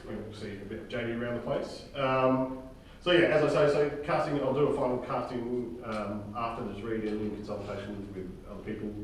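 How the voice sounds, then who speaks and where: A man's voice: medium pitch, steady tone, a little fast. Echoey, far-off man briefing a group. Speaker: project leader and director running a table read. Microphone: distant room microphone. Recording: distant, echoey room with clear noise.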